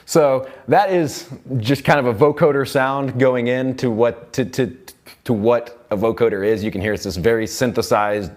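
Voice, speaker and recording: A man's voice: mid-range voice, steady tone, moderate pace, darkish tone. A young adult man with an American accent, an instructor giving a casual live tutorial in a rambling way, often repeating and restarting phrases. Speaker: instructor, seminar host. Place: recording studio control room, seated at mixing console.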